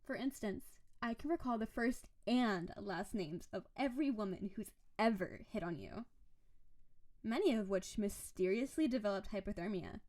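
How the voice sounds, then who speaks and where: A woman's voice: medium pitch, warm tone, dry recording, close to an everyday voice. A woman playing a playful, possessive snow-spirit wife in an audio roleplay, coaxing and doting in a theatrical way. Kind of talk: scripted audio roleplay addressed to the listener.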